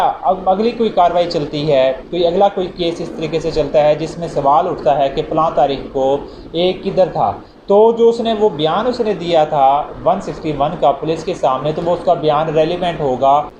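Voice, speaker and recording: A male lecturer explains legal articles in an easy, conversational way. His voice sits a little on the high side. He reads the English clauses slowly before breaking them down, and steady background noise runs under the recording. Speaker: law lecturer.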